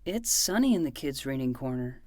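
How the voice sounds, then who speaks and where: A woman's voice: rumbling low, sing-song, fairly quick, a little mellow, no room sound, full character voice. A woman voicing an earnest, melodramatic twelve-year-old boy who plays detective in a scripted fiction podcast. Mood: urgent.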